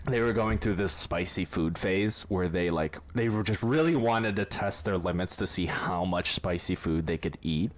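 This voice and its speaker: Low-voiced man telling a story. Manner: curious, storytelling, lots of questions. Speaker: podcast co-host. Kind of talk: casual chat.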